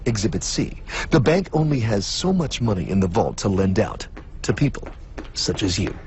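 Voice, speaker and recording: Pompous mystery-show host, low and lecturing , a man voicing him. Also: sing-song, a little mellow. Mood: solemn. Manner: formal, lecturing, dramatic pauses. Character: solemn, pompous male mystery-show narrator. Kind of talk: cartoon voice acting. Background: music.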